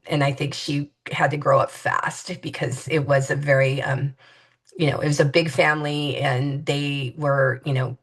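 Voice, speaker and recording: A woman in her fifties from Hawaii speaks as an interview guest with a low voice. She talks in a reflective, halting way, often pausing on fillers and restarting her sentences. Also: a bit bright. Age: 50s. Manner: hesitant, many fillers and restarts.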